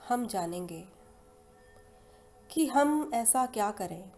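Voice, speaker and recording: Mellow Indian woman guiding meditation. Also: medium pitch, lively intonation. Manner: soothing, unhurried, with long pauses between phrases. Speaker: guided meditation and hypnosis leader. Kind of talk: slow guided meditation narration. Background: soft meditation music.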